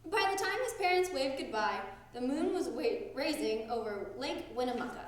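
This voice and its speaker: A young woman reading a children's storybook aloud with expressive narration. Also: sits high, moderate pace. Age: young adult. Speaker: drama student reading aloud. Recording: very echoey room.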